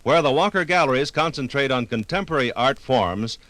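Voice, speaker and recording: A man reading scripted 1950s radio documentary narration in a formal, measured manner. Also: medium pitch, lively intonation, a bit bright, dry recording.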